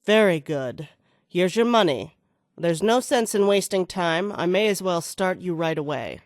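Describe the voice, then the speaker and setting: A woman's voice: lowish voice, animated, unhurried, dry recording, studio quality. An actress playing an ambitious, scheming female mayoral candidate in a video game, speaking with self-assured, oratorical delivery. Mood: brisk, persuasive.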